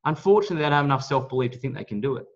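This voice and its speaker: A man giving a motivational business pitch, speaking in an emphatic, blunt, salesy way with some mild swearing. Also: lively intonation, very fast. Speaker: network marketing leader, guest speaker on a team call. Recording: dull, lo-fi call audio.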